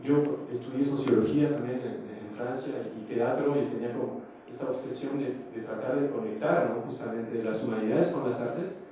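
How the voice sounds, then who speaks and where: A man with a mellow, dark voice gives a speech at a conference opening, hesitant and full of fillers and restarts. He is heard from a distance in a very echoey hall, with a faint hiss underneath. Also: medium pitch, normal speed, few pauses. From foreign, living in Mexico. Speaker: theatre maker and invited speaker.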